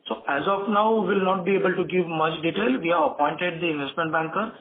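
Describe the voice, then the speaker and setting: A man with an Indian accent answering analysts as a company executive on an earnings call, haltingly and repeating words, over a phone conference line. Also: high-pitched, phone-quality sound.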